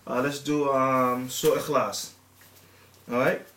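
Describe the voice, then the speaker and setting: A man in his thirties, a father and religious teacher, gives an informal talk to viewers with many pauses, fillers and restarts. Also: medium pitch, flat delivery, a bit bright. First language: English. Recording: echoey room.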